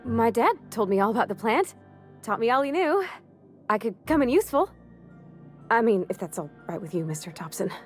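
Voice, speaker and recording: An actress playing a shy, eager, deferential young mechanic in a video game, with a hesitant, folksy, stammering manner. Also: female voice, fairly high pitch, sing-song, fairly quick, soft background music. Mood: timid, hopeful. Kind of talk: video game character acting.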